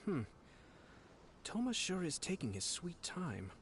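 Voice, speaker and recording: A man's voice: sits high, very animated, a little slow, a bit bright, a little hiss or hum, full character voice. A man playing a composed, gracious young lord in a video game, with refined and formal speech.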